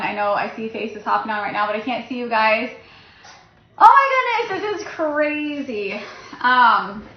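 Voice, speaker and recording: A woman speaking on a livestream, nervous with a shaking voice, gushing and earnest. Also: fairly high pitch. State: nervous, shaky voice. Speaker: social media seller on a livestream. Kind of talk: emotional livestream announcement. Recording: roomy, dull phone livestream audio.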